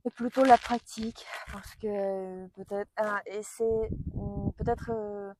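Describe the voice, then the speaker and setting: Hesitant young woman, slow questions. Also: medium pitch, fairly even intonation, noisy background. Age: young adult. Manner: hesitant, many fillers, laughs often. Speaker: student interviewer.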